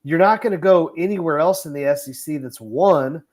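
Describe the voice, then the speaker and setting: A man's voice: on the high side, moderate pace. A man co-hosting a sports podcast, posing questions and arguing through what-ifs. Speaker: podcast co-host, coach. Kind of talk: casual sports talk.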